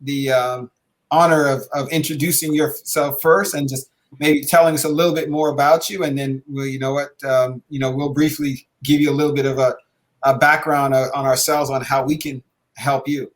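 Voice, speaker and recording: A middle-aged man hosting a show conversationally, halting often with filled pauses. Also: medium pitch, level tone. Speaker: podcast host, basketball coach.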